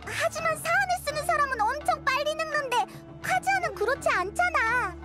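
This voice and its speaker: A woman voicing a small, excitable, childlike fairy companion in a game, with a cutesy, exclamatory delivery. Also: very high voice, brisk. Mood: surprised, indignant. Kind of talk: game voice acting. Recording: music behind the voice.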